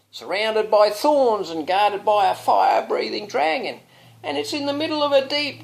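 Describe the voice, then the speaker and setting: A man's voice: extremely high pitch, gravelly, pitched above the performer's own voice, full character voice. A man voicing a dramatic little princess in a storybook, shrieking and plaintive.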